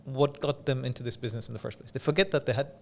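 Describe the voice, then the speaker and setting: A man from Dubai speaking as an interview guest, telling stories in a measured, conversational way with pauses and repeated phrases for emphasis. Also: mid-range voice, vocal fry. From Dubai, UAE. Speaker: podcast guest, business coach.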